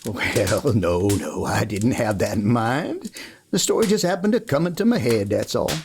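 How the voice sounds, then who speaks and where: A man's voice: on the low side, animated, moderate pace, darkish tone, a little hiss or hum. A man playing a folksy, easygoing cowboy who tells a story in an old-time radio play, full of homespun asides. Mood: modest, easygoing. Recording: guitar music playing behind.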